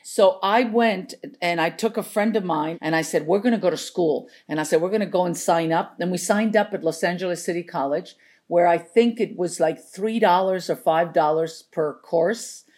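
Older female guest from Chile, Spanish native, lowish voice, conversational storyteller. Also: moderate pace, a little mellow. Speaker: interview guest, veteran script supervisor. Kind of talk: casual anecdotal storytelling.

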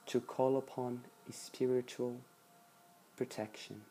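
A meditation guide speaking soothingly and softly in short phrases with long pauses between them. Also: mid-range voice, level tone, a little hiss or hum, poor audio. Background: background music.

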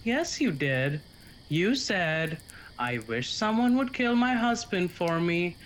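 Man doing a bumbling naive young accomplice, high voice, whiny and panicky, slow delivery. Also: lively intonation, fairly bright tone, a little hiss or hum, exaggerated voice. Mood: pleading. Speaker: actor. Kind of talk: student radio comedy acting.

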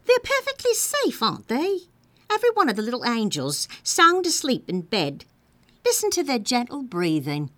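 A woman voicing a bad-tempered, stern housemaid in a storybook, scolding in sharp exclamations. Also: on the high side, huge pitch swings, moderate pace, no echo, pitched above the performer's own voice. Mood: cross, triumphant.

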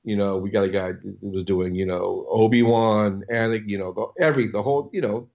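Middle-aged male, low voice, producer being interviewed; rambling, stop-start delivery with repeated words. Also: fairly even intonation, moderate pace, vocal fry, darkish tone. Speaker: audio producer, interview guest. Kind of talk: casual interview storytelling.

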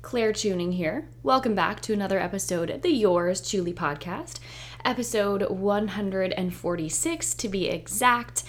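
Woman, young adult, solo podcast host; voice a bit hoarse, upbeat and encouraging delivery. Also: mid-range voice, normal speed. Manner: chatty, upbeat and reassuring. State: hoarse, nearly losing her voice. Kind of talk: solo podcast intro monologue.

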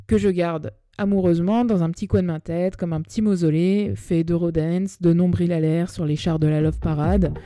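Mellow young Parisian woman podcasting. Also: on the low side, no room sound, clean studio sound. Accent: Parisian French. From Paris, France. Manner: chatty, self-deprecating, colloquial. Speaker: podcast host. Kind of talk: personal monologue podcast, semi-scripted narration. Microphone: close podcast microphone.

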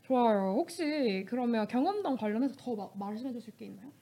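A young woman hosting a radio show, chatting politely and giggly in an animated way with her guest. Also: fairly high pitch, quick pace. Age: young adult. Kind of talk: casual friendly interview chat with scripted segues.

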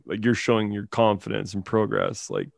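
A man's voice: lowish voice, wildly swooping pitch, normal speed, gravelly, no echo, studio quality. A man hosting a casual chat, asking loose, filler-heavy questions.

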